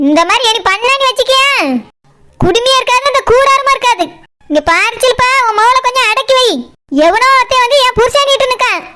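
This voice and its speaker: A woman plays a bossy, hot-tempered cartoon landlady with an extremely high, childlike pitch. She scolds sarcastically in Kanyakumari Tamil, over a very noisy soundtrack. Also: a little fast. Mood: angry, scolding. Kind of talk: Tamil comedy cartoon dialogue.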